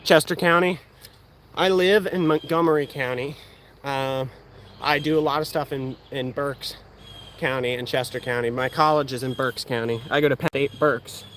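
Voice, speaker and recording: A man's voice: mid-range voice, fairly even intonation, normal speed, a bit bright. An eighteen-year-old man with a Pennsylvania accent, chatting casually on a livestream and answering viewer questions while he shoots archery outdoors. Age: young adult. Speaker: outdoors channel host. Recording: faint outdoor background noise.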